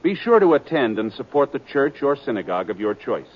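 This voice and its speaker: A man reading a public service message and the program credits as a radio announcer, in a measured, formal delivery. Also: mid-range voice, big pitch swings. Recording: dull old radio transcription with theme music.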